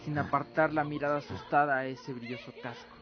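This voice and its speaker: A man's voice: on the high side, fairly even intonation, brisk. A man narrating a scripted fantasy radio drama as a dramatic storyteller. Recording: dull lo-fi with music underneath. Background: music.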